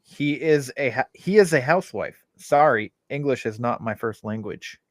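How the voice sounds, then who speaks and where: A man's voice: mid-range voice, lively intonation, moderate pace, no room sound, studio quality. A man co-hosting a casual podcast chat, rambling and correcting himself as he talks. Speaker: podcast co-host.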